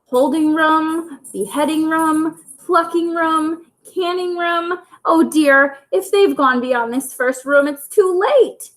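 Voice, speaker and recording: A woman's voice: high voice, slow, hardly any pauses, a bit bright, pitched above the performer's own voice. A woman voicing a plucky, bossy, anxious young hen with an urgent, squawking delivery in a children's storybook. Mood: alarmed. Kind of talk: children's storybook read-aloud.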